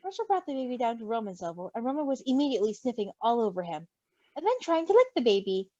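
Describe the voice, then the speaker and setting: High, animated woman reading a story aloud. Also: fast, dry recording. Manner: gushing, giggly, expressive. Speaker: fan fiction reader. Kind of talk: story reading with amused asides. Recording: dull lo-fi recording.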